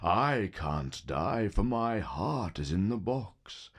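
A man's voice: lowish voice, sing-song, slightly rough, heavily acted. A man playing a brooding, forgetful spirit who guards graves, telling old tales in a solemn theatrical manner for an audio play. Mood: ominous. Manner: solemn, theatrical, deliberate storytelling. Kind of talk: audio play with verse recitation.

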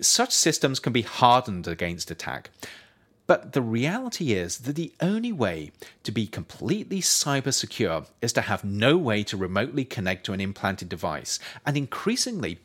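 Male nonfiction narrator, fairly deep, highly varied pitch, measured explanatory delivery. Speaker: author reading own book as narrator.

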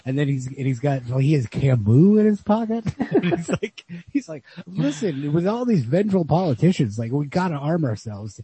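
A man with a husky voice thinking aloud in a casual, rambling literary discussion as a podcast co-host. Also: fairly high pitch, very animated, normal speed, few pauses, warm tone, slight background noise. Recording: lo-fi podcast audio.

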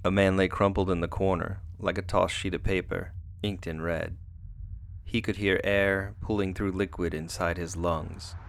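A male narrator with a very deep voice reads dramatic radio theatre prose. His delivery is measured and somber. A faint bed of background noise sits under the voice. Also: flat delivery, creaky.

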